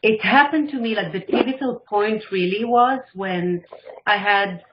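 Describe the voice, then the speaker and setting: A woman's voice: medium pitch, measured pace, noisy background. Woman telling her story with animation. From Israel. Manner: animated storytelling, acts out quoted dialogue. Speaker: guest, life and business coach. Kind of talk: casual interview talk. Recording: dull lo-fi audio.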